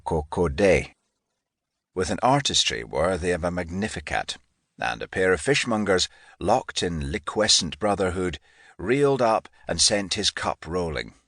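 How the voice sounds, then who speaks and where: Low-pitched, highly expressive male audiobook narrator. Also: no room sound, studio quality. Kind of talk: scripted literary narration of historical fiction.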